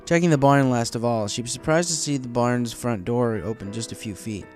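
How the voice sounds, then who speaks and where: Male storybook narrator, middle-pitched voice, scripted reading with music underneath. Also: brisk, a little mellow.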